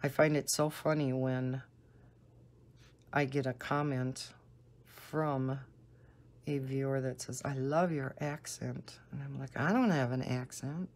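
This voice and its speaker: Slow, low-voiced Northern American woman. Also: steady tone. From northern United States. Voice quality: low, soft voice. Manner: unhurried, deliberately slow talking. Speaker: coloring video host. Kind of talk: casual rambling chat while coloring.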